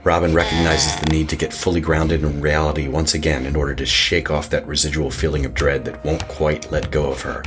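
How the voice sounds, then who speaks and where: A man giving scripted narration of a horror story in an ominous, measured manner. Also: very deep voice, little pitch movement, music in the background.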